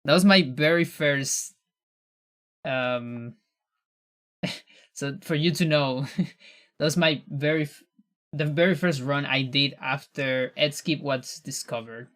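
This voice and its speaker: A man's voice: a little high, slow, long pauses. A man from Chile, a native Spanish speaker with a mixed American-sounding accent, chatting casually and chuckling while he streams a speedrun. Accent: mixed American with Chilean undertone. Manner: relaxed, chuckling, trails off into murmurs. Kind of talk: casual live-stream chat while playing.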